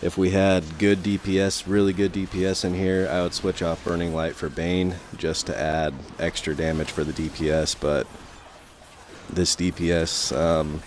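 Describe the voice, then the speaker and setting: A young man commentating his gameplay in a casual, rambling way full of fillers, his voice a little raspy from talking so long. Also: deep voice, little pitch movement, moderate pace, a little hiss or hum. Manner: rambling, many fillers and restarts.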